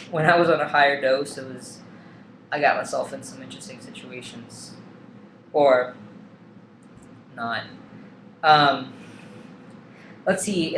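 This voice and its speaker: Young guy vlogging, kinda high voice, lots of ums, echoey room w faint hum. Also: fairly bright tone. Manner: hesitant, lots of ums and likes, candid. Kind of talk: casual personal vlog.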